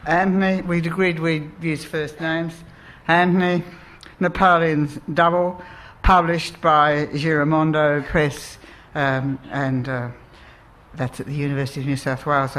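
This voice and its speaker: An Australian woman chairing a live writers' festival session, introducing the speakers in a measured, deliberate way. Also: low voice, fairly bright tone.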